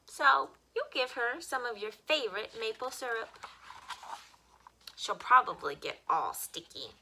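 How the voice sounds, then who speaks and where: A woman with a very bright, forward voice reads a picture book aloud for young children. Her pitch moves animatedly as she shapes each simple sentence expressively. There is faint noise behind her. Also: very animated, measured pace, gravelly. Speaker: story time host reading children's books. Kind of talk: children's read-aloud storytelling.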